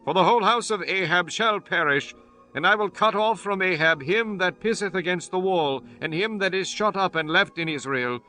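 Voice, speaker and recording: A man voicing a fierce young prophet in a dramatized scripture reading, loudly declaiming divine judgment. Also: high voice, normal speed, pitched above the performer's own voice. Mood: fierce, solemn. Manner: loud, declamatory. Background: music.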